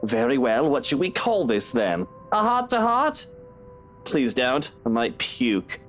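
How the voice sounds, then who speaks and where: A man's voice: medium pitch, big pitch swings, slow pace, a bit bright, soft background music. A man voicing a sardonic, brooding cheating husband in a visual novel game, with a sarcastic, dismissive manner. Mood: dry sarcasm. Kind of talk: dramatic visual novel voice acting.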